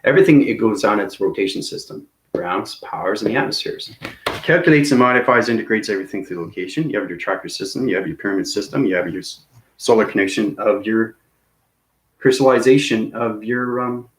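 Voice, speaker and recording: Rambling low-voiced man talking space. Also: fairly quick, rough recording. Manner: rambling, repetitive, laughs at himself. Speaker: video host. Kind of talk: rambling cosmic monologue to viewers.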